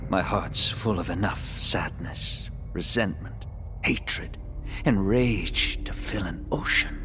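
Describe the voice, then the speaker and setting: A man playing an ancient, sorrowful dark elf king in a video game, sly and bitter, with a theatrical, teasing, measured delivery. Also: fairly low pitch. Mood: regretful, bitter. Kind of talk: video game cutscene acting.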